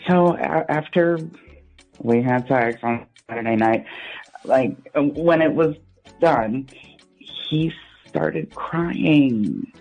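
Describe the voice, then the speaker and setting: A woman's voice: rumbling low, drawn-out words, a little mellow, faint music behind, rough recording. A woman calling in to a radio show, talking casually and earnestly in an informal conversation.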